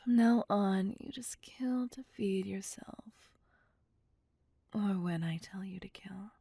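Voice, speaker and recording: A woman voicing a possessive, vain vampire mistress in an ASMR roleplay, breathy and often whispering, with condescending endearments. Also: mid-range voice, dark tone, dry recording, exaggerated voice. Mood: possessive, coaxing. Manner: slow, deliberate, condescending endearments. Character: possessive, vain female vampire, commanding and seductive. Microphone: close, dry microphone.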